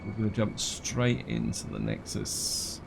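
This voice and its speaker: A man with a croaky, congested voice from a cold, casually commenting on his gameplay and thinking aloud as he goes. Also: on the low side, big pitch swings, unhurried, background noise. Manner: rambling, thinks aloud with fillers and restarts; reads on-screen game text aloud.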